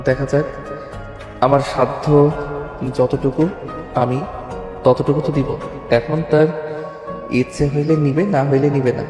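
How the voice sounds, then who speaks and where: A man's voice: medium pitch, steady tone, a little slow, long pauses, natural voice. In a reverberant audio drama with music underneath, a man plays a principled young male student. He speaks evenly and seriously, holding himself back. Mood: calm. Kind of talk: Bengali audio story acting. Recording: echoey long reverb, music bed.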